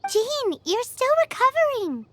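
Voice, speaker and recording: A woman's voice: extremely high pitch, very animated, measured pace, heavily acted. A woman voicing a tiny childlike fairy companion in a video game, eager, caring and earnest. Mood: worried, indignant. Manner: earnest, exclamatory, refers to self by name. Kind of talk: video game character acting. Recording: background game music.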